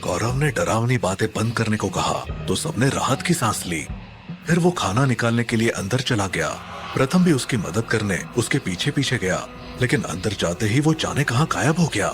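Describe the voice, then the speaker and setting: Male horror storyteller, low-pitched, suspenseful and dramatic, over loud music. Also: very animated, normal speed. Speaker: narrator. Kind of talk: scripted horror story narration.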